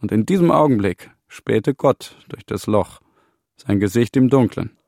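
A man acting as a grim storyteller in a literary radio drama, speaking in a measured, deliberate way. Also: fairly low pitch, lively intonation, vocal fry, darkish tone, dry recording, clean studio sound, full character voice. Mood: grave, measured. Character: grim man telling of economic collapse as 'we'.

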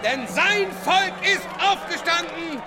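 Fiery rebel preacher, very high strained pitch, slow declamation, radio drama. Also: male voice, animated, full character voice. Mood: rousing, defiant. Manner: declamatory, drawn-out, solemn phrasing. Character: fiery rebel preacher rousing a peasant crowd. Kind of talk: oratorical radio drama speech. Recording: loud music and crowd behind.

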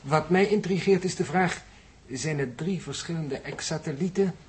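A man's voice: fairly high pitch, moderate pace, lightly acted. Male actor as space navigator: precise, analytical delivery, old radio drama with faint noise. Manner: analytical, matter-of-fact. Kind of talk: 1960s Dutch radio play acting.

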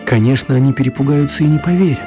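A man narrating a scripted story for a radio play, with dramatic, deliberate phrasing. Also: mid-range voice, music in the background.

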